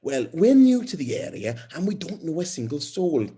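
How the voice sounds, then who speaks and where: Man voicing a chatty young mum, pitched up. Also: big pitch swings, normal speed, warm tone, breathy edge, exaggerated voice. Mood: chatty, rambling. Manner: rambling, hesitant, friendly chatter. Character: chatty, friendly young mother, eager new neighbour. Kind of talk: audiobook character dialogue.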